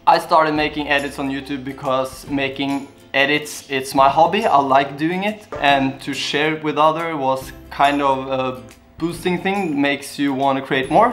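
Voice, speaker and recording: A man's voice: mid-range voice, a bit bright. A young man from Norway with a Norwegian accent, a YouTube vlogger answering viewer questions in a casual, earnest, rambling way. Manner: earnest, rambling, simple phrasing. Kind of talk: casual Q&A talk to camera.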